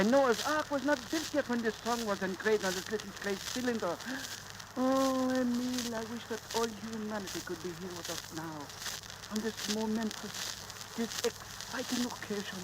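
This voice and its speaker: Male actor for excitable French archaeologist, radio play. French accent, exclamatory, theatrical delivery; crackly 1938 disc sound. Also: high voice, close to an everyday voice. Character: excitable, awestruck male French archaeologist, imperious with his assistant. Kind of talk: 1930s radio acting.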